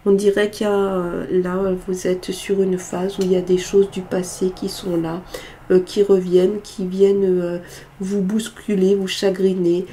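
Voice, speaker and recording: A woman's voice: medium pitch, little pitch movement, unhurried, dark tone, a little hiss or hum. A woman with a cold reading tarot cards in a casual, hesitant way, with lots of filler sounds. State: has a cold, congested voice. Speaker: tarot card reader.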